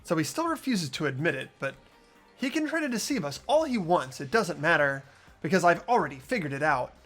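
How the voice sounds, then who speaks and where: A man's voice: on the high side, big pitch swings, brisk, fairly bright tone, faint music behind. A man playing an earnest, determined teenage boy detective in an amateur video game dub, insistent and accusatory.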